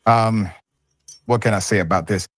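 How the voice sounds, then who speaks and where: A male commentator reading a news report aloud in a measured way, adding his own remarks. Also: fairly low pitch, fairly even intonation, dry recording, clean studio sound.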